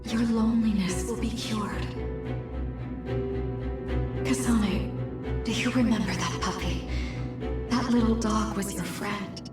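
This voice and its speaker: An actress voicing a machine posing as a gentle mother in a video game, calm and manipulative, pleading in a solemn, measured way. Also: fairly high pitch. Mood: solemn, coaxing. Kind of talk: video game cutscene acting. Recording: very echoey, distant voice, music behind.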